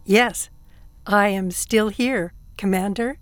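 A woman playing a calm, dutiful ship computer in an audio drama, reporting in a measured, formal, emotionless way. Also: mid-range voice, dry recording, close to an everyday voice. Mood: impassive.

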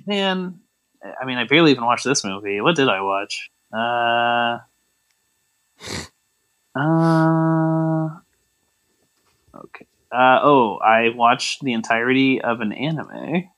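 A man's voice: mid-range voice, slow, a bit bright, clear, resonant tone. A man co-hosting a podcast, riffing sardonically in casual comedic chat. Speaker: podcast co-host.

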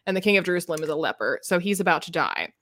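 A woman's voice: lowish voice, lively intonation, racing, a bit bright. A woman co-hosting a book podcast in casual, slangy chat, acting out bits of dialogue as she retells stories. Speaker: podcast co-host discussing books.

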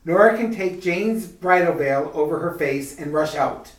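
Eager young groom acted by a man, earnest radio-drama delivery, lightish pitch, roomy off-mic sound. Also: measured pace, close to an everyday voice. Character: eager young bridegroom hatching a plan. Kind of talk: retro radio mystery-comedy acting. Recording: echoey room, distant from mic.

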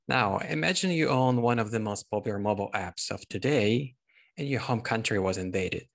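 A man's voice: on the low side, animated, moderate pace, gravelly. A man hosting a podcast, introducing and interviewing a guest. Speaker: podcast host.

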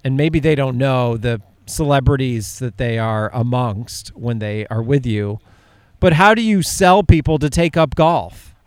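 A man's voice: mid-range voice, expressive, unhurried, hardly any pauses, clear, resonant tone, dry recording. A man from upstate New York hosting a casual interview, asking questions with enthusiastic restarts. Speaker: podcast host.

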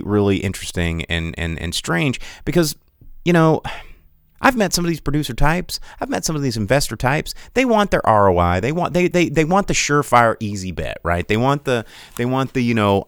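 A man hosting a casual solo podcast, rambling with many restarts and animated asides while he reads chat. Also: mid-range voice, no echo, clean studio sound. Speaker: podcast host.

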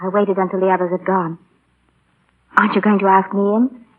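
A woman's voice: mid-range voice, little pitch movement, brisk, lightly acted. An actress playing an earnest, adoring young lady in 1940s radio melodrama, with breathless, theatrical sincerity. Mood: low, pleading. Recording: muffled vintage radio broadcast, music behind.

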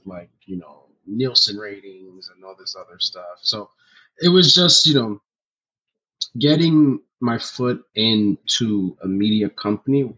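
A young man from New Jersey, a podcast guest, talks in a loose, rambling way and pads his sentences with "like" and "you know." His voice is bright and a little husky. The sound is muffled and lo-fi. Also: on the low side. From New Jersey, USA. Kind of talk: casual interview chat.

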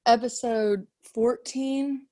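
A woman's voice: fairly high pitch, fairly even intonation, slow, mellow, no echo, clean studio sound. A woman in her twenties co-hosting a podcast in casual chat, blunt and teasing, laughing often. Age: 20s. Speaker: podcast co-host.

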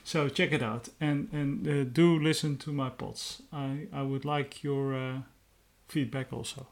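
A man's voice: medium pitch, steady tone, a little slow. A man with a Dutch accent, a native Dutch speaker, hosting his own podcast in plain, earnest talk with hesitant fillers. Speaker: podcast host. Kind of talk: casual solo podcast talk.

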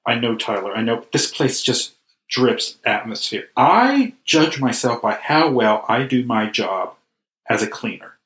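A man's voice: medium pitch, big pitch swings, measured pace, a bit bright. A man playing a despondent, earnest school cleaner in improvised comedy, plaintive and repeating himself. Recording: roomy, echoing sound.

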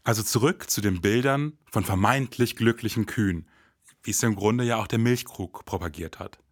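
An actor playing a formal, pompous detective in a satirical noir podcast drama, with stilted, theatrical phrasing. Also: male voice, lowish voice, moderate pace, no echo, clean studio sound. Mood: deliberate, grave.

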